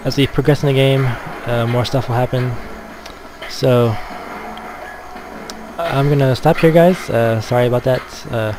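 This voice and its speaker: A man giving casual gameplay commentary as a Let's Play gamer, in a hesitant, low-key manner. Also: steady tone, a little slow, background noise. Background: game music.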